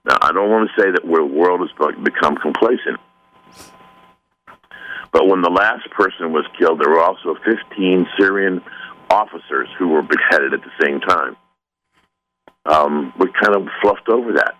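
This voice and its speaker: A man guest with a sore throat, speaking earnestly in a phone-in interview, halting with many restarts. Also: sits low, bright, forceful tone, lo-fi sound. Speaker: author guest.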